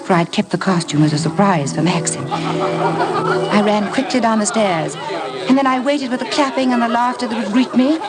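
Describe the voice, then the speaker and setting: An actress playing a timid, naive young bride, earnest and emotional, in a 1950s radio drama. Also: female voice, fairly high pitch, lively intonation, moderate pace, a loud crowd, lightly acted. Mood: haunted, reflective. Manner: earnest, hesitant, breathlessly emotional. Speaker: lead actress and narrator of a radio play. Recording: vintage radio broadcast with orchestral music.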